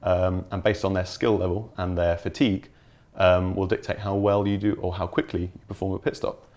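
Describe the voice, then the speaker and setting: A man with a deep, low voice talks through details as a developer in an interview. His delivery is informal and enthusiastic, like a relaxed explanatory chat. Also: a little fast. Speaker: game developer being interviewed.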